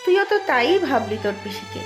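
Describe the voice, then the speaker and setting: Cartoon role: woman as principled, forgiving mother, high pitch, conciliatory, clear musical backing. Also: sing-song, brisk, music in the background. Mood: reproving, sad. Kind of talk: cartoon voice acting.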